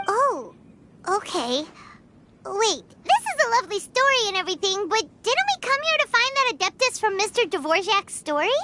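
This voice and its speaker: A woman voicing a small, naive, blunt childlike sprite in a video game, with a squeaky voice. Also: sing-song, normal speed, heavy background noise. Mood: puzzled. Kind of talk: cartoonish game dialogue.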